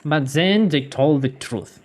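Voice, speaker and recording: A man hosting a gaming channel, recapping a game's story in halting non-native English and breaking off for joking asides. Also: on the high side. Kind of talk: game story recap with commentary.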